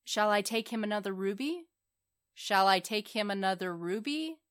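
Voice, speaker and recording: A woman's voice: medium pitch, expressive, moderate pace, a bit bright, natural voice. Woman as a tender, kind-hearted little storybook swallow. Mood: devoted, reluctant. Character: small, kind-hearted, devoted male swallow. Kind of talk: storybook character voice.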